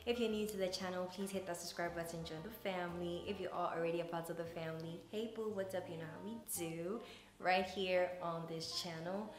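A woman's voice: on the low side, steady tone, brisk. A woman in her twenties from Ghana chatting casually to camera as a vlogger, recorded indoors. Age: 20s. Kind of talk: casual vlog chat to camera. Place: indoor living room, kitchen and bedroom. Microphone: camera a few feet away. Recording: echoey room with music behind.

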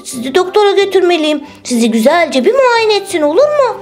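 Woman playing a fatherly bear, still high-pitched. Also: big pitch swings, brisk, darkish tone, soft background music, heavily acted. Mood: reproachful. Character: big fatherly bear toy, gently reproachful.

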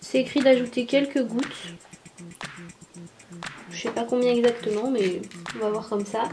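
A young woman in a casual voice-over, explaining each step of a craft toy in a relaxed way with small fillers. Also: fairly high pitch, moderate pace, over music. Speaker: toy review YouTuber.

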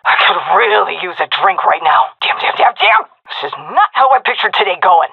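A woman's voice: fairly low pitch, very animated, moderate pace, gravelly, slightly rough, no echo, exaggerated voice. An actress playing a tough, cocky, foul-mouthed female navy commando commander in a video game, brash and sardonic with clipped military delivery through a radio filter. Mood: grim, wry. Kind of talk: game combat voice lines. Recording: narrow, phone-like radio comms.

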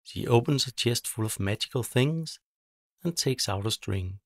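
A man's voice: medium pitch, expressive, normal speed, dry recording, clean studio sound. A man narrating a scripted children's bedtime story, telling it simply in short phrases.

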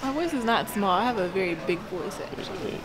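A woman's voice: mid-range voice, lively intonation, a little fast, a crowd in the background, low-quality recording. A woman teasing her husband playfully while they are out shopping, caught on his handheld camera. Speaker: vlogger's wife. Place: outdoors in parking lot and store.

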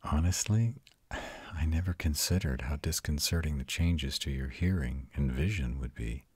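Man acting very deep, husky vampire lover. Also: dry recording. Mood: tender, apologetic. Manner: soft, intimate, slow reassurance. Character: ancient arrogant male vampire tenderly comforting his mate. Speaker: voice actor. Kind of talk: intimate roleplay audio drama.

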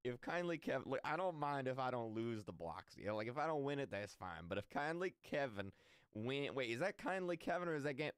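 A man in his twenties giving excitable, jokey reaction commentary, often repeating phrases for emphasis, close on the mic. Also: medium pitch, brisk, smooth, no echo. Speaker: reaction video host. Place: indoor room, close to mic. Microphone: mic on arm close to mouth.

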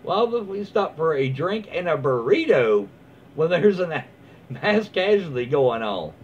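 A man's voice: fairly high pitch, big pitch swings, unhurried. A man, reading stories aloud and commenting casually in a folksy, rambling, easygoing way. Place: small room at home, indoors. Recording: dull lo-fi sound with clear background noise.

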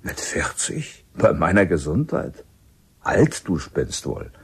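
Very raspy, deep village skirt-chaser. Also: male voice, sing-song, takes it slowly, full character voice. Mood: coaxing. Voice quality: very husky, rough. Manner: coaxing, blustering. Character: pushy forty-year-old widowed village skirt-chaser. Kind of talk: naturalistic radio drama acting.

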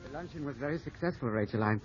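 An actor playing a proud, awkward, suspicious young country gentleman in an old radio drama. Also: mid-range voice, lively intonation, fast, lightly acted. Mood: guarded. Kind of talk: 1950s radio drama acting and narration. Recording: muffled old radio broadcast with music.

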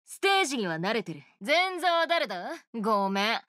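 A woman voicing a brash, swaggering female oni rock star guitarist, with rough tough-girl speech in game voice lines. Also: on the high side, big pitch swings, normal speed, pushed and bright, no echo, studio quality. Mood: cocky. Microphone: studio mic, dry.

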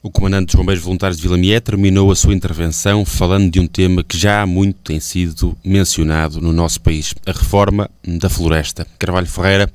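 A man's voice: deep voice, a little mellow, no room sound. A man with a European Portuguese accent reading scripted regional news on the radio as a newsreader. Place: radio studio.

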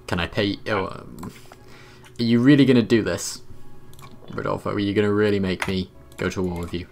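A man's voice: lowish voice, fairly even intonation, normal speed, vocal fry, darkish tone, slight background noise. A man casually chatting and bantering with friends over voice chat while playing a strategy game, swearing freely. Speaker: player in a multiplayer strategy game.

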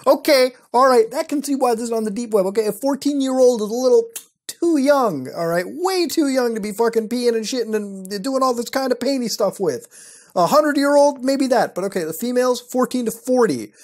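A man's voice: extremely high pitch, animated, a little fast. A young man hosting his show from a small room at night, reacting to websites with wry, profane commentary. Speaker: host. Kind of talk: casual commentary reading websites.

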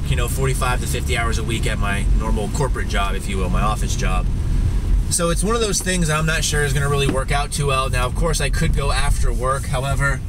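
Man, 30s, vlogger talking while he drives, easy rambling delivery, steady mid voice, heavy car rumble underneath. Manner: relaxed, rambling and conversational. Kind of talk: casual chat while driving. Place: inside a car on snowy streets. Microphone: camera mounted on dashboard. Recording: loud road noise inside a car. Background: loud car road noise.

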